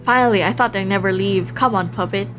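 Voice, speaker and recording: A woman's voice: medium pitch, fairly quick, a bit bright, lightly acted. A bossy male visual novel companion, read out by a woman, speaks with impatient authority. He gives orders and urges his partner on. Music plays underneath. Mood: impatient, urging. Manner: commanding, impatient. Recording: music playing behind the voice.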